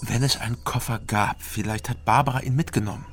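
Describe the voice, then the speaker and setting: A man's voice: medium pitch, steady tone, fairly quick, fairly bright tone, faint music behind, close to an everyday voice. An actor playing a wry East German man in a radio crime drama, who tells his own story in terse fragments and talks his way through questioning. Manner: terse, clipped first-person telling in short fragments. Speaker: narrator and protagonist.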